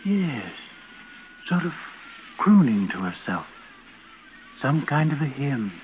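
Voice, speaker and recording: Musing undertaker, tinny old radio. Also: male voice, medium pitch, big pitch swings, takes it slowly, heavy background noise. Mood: uneasy, wondering. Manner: hesitant, reflective, old-fashioned phrasing. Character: musing, formal undertaker telling an eerie tale. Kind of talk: 1940s radio drama acting.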